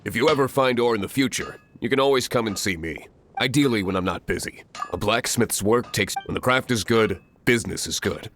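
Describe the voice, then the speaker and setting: A man playing a gruff, busy, no-nonsense blacksmith in a video game, speaking curtly. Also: fairly low pitch, fairly quick, a bit bright, slight background noise, natural voice. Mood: gruff, businesslike. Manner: curt, gruff.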